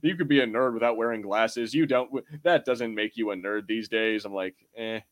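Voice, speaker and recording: A man's voice: on the low side, normal speed. Rambling, slangy man podcasting. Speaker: podcast co-host. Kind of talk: casual pop culture discussion.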